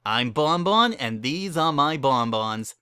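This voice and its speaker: Man doing a sweet, high-pitched mare shopkeeper. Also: animated, takes it slowly, bright, forceful tone, smooth, no echo, clean studio sound, heavily acted. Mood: cheery, welcoming. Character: polite female pony shopkeeper, sweetly welcoming.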